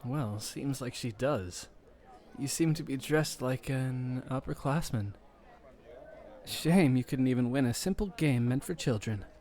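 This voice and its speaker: A man's voice: medium pitch, measured pace, darkish tone, close to an everyday voice. A man playing a bold, smug warrior suitor in a romantic audio roleplay, speaking with courtly politeness and a taunting edge. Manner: polite and courtly, taunting toward his rival.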